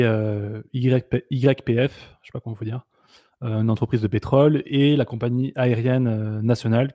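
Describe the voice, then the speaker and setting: A man guides a casual political discussion and keeps checking his notes. His voice is mellow and he stops often to hunt for words. The sound is dry and close. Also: mid-range voice, no echo. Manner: hesitant, reads from notes, many fillers. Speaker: show host.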